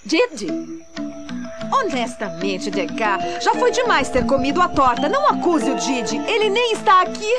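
High, indignant cartoon ape girlfriend. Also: sing-song, normal speed, loud music behind the voice. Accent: Brazilian. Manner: scolding, sarcastic. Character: indignant young female ape, feisty girlfriend. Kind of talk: dubbed animated series acting.

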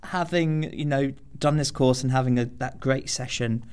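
A man's voice: mid-range voice, moderate pace, a little mellow, smooth. A man speaking as a student guest on a podcast, enthusiastic and earnest in a conversational way. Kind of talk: conversational interview answers.

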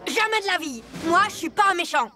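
A woman's voice: very high-pitched, animated, brisk. A woman playing a tough, proud, good-hearted boy superhero in a cartoon dub, earnest and swaggering, with music behind. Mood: defiant.

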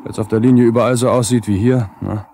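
An actor playing a defiant, grumbling young line technician in a radio play, colloquial and disgruntled. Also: male voice, medium pitch, fairly even intonation, quick pace, dark tone, lightly acted. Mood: sullen, defiant. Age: young adult. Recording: faintly noisy 1970s radio play recording.

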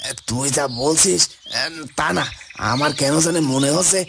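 A man's voice: a little high, normal speed, gravelly, noisy background. A man voicing an anxious, suspicious subject of a fairy-tale kingdom in a cartoon, with hesitant starts. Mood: uneasy. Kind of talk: dramatic cartoon dubbing.

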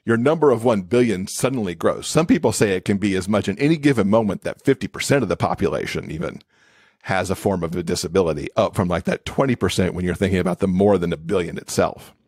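A man's voice: sits low, fast talker, a little mellow, husky, no room sound, studio quality. A man speaking as an expert podcast guest, explaining things in a conversational interview style.

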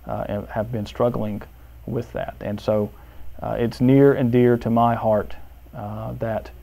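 A man in his thirties speaks an unscripted testimony, pausing often with fillers. His tone is warm and mellow, recorded close on a lapel mic indoors. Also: medium pitch, flat delivery, creaky. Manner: hesitant, many uh fillers. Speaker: seminary professor.

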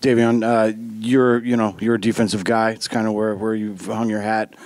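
A man's voice: lowish voice, little pitch movement, normal speed, a little mellow, no echo. A male reporter asking a long, rambling question with restarts.

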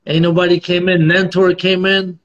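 A man's voice: high-pitched, flat delivery, normal speed. A man in his thirties hosting a casual group video chat, with slangy, laid-back bro talk. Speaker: live broadcast host. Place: small home room. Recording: dull lo-fi video call audio.

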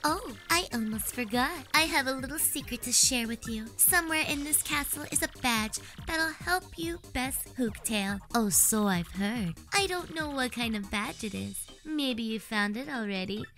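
A flirtatious female mouse thief from a cartoon video game. The voice is very high and forward, sly and coy, with theatrical teasing over background music. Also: lively intonation, moderate pace, few pauses, sharp tone. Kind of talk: video game fan voice acting.

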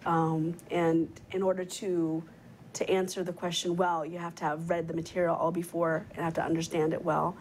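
Lowish-voiced woman hosting a webcast. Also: flat delivery, normal speed, a little mellow, slight background noise. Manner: chatty, many fillers and restarts. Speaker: host, program coordinator. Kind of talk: hosting a live webcast panel. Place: TV studio set. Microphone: lapel mic.

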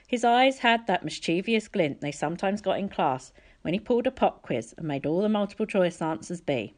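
A woman's voice: on the low side, animated, moderate pace, a little mellow. A woman narrating a first-person story from a book as an audiobook reader.

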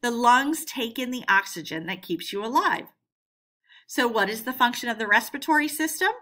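A woman teacher reading a scripted educational lesson aloud for children in an expressive, deliberate way. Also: on the high side.

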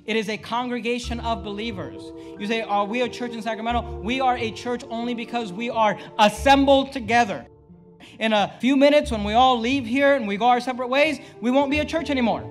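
A man in his thirties preaching an emphatic sermon at a church pulpit, firing off rhetorical questions and swinging between loud and hushed. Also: very high voice, steady tone, brisk, soft background music. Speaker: pastor. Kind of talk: fiery sermon. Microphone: podium mic.

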